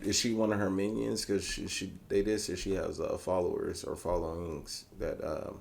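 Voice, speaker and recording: A man with a deep voice co-hosts in casual chat. He reasons aloud hesitantly, often repeating himself as he goes. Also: little pitch movement, normal speed, creaky. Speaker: reaction video co-host.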